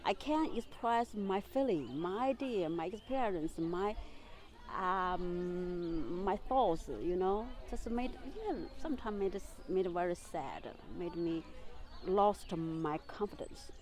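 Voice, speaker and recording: A woman from China, a young mother speaking slowly and searching for words in a documentary interview. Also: on the low side, darkish tone. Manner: halting, searching for English words. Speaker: migrant mother.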